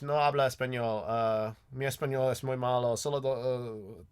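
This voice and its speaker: A man's voice: mid-range voice, clean tone. An American man hosting a live Q&A stream, chatting casually with lots of ums and restarts. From United States. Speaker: YouTube host answering live chat. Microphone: desk mic with clacking mechanical keyboard.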